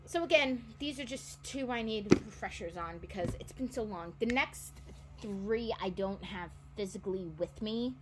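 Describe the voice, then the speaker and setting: A woman's voice: medium pitch, normal speed, noisy background. A woman in her twenties chatting casually about books she wants to reread, rambling and often correcting herself. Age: 20s. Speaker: book vlogger. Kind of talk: casual chat.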